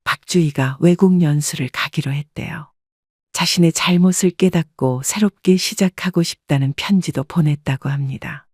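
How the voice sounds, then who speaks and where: A woman reading a scripted first-person story as an audiobook narrator, in a measured, polite storytelling manner. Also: deep voice, a little mellow, breathy edge, dry recording, studio quality.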